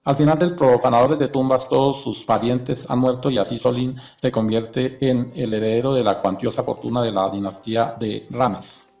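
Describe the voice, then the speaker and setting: A man's voice: mid-range voice, fairly even intonation, moderate pace, vocal fry, few pauses, a little mellow, faint music behind. A man narrating from a script in an informational documentary style.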